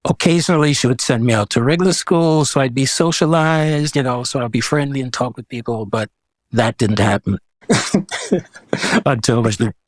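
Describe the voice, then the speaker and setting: A man's voice: mid-range voice, moderate pace, no room sound. A man, speaking reflectively and casually as an artist being interviewed. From Guyana. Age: 70s. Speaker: guest, visual artist.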